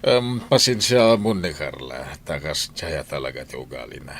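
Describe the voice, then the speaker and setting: Guy playing a calm older dad, boss of the house, super low voice, radio drama. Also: moderate pace, full character voice. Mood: sympathetic, reassuring. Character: calm older father, head of the household, defending his maids. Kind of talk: Ilocano radio drama acting.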